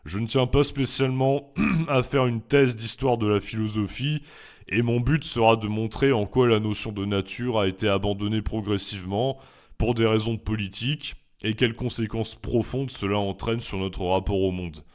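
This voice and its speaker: A young French man, a philosophy teacher and YouTuber, reading his FAQ answers in a measured, reflective way with some hesitant fillers. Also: fairly low pitch, fairly even intonation.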